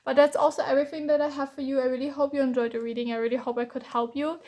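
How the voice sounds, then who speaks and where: A woman from Germany giving a casual tarot reading, hoarse with a cracking voice, reassuring and repeating herself. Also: high voice, flat delivery, rapid-fire, mellow. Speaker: tarot reader.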